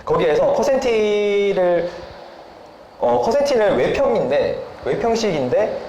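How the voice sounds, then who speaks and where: A man's voice: high-pitched, big pitch swings, unhurried, a little mellow. A man giving a polite explanation as an interview guest, often humming briefly between phrases. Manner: polite, frequent short hums between phrases. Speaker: software developer, interview guest. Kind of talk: explanatory interview talk about a product. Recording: roomy echo, faint background noise.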